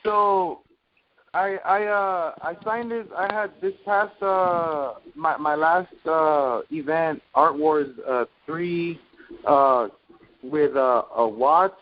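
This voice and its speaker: A man in his twenties giving a casual personal account on a group call, halting and slangy as he goes. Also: on the high side. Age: 20s. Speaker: call guest, young entrepreneur. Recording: muffled noisy conference call.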